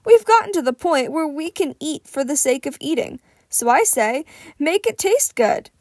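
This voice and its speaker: Storybook hero mentor, big jolly man who loves food acted by a woman, high hearty voice, dry sound. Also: lively intonation, normal speed, a little mellow, dry recording. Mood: hearty, jovial. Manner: hearty, jovial.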